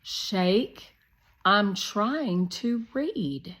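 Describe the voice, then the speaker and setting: Lady voicing fussy young boy in a kids story, grumbly, slow pointed words, kinda fed up. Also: mid-range voice, lively intonation, a bit bright, heavily acted. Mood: irritated. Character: bookish, particular, put-upon, grumpy young boy. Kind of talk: children's storybook read-aloud.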